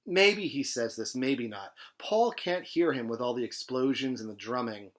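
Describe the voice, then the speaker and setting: A man reads as a hip late-sixties storyteller from a novel, posing as a news anchor. The delivery is emphatic, slangy and driving, in a medium-pitched male voice. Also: animated, moderate pace, close to an everyday voice. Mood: vivid, urgent. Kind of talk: dramatic reading of literary fiction.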